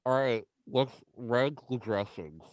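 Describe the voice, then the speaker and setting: A man's voice: medium pitch, lively intonation, takes it slowly, no echo, lo-fi sound. A man hosting a podcast, chatting casually and teasing his guest with jokes. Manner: teasing, joking, laughs often. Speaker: podcast host. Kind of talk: casual chat.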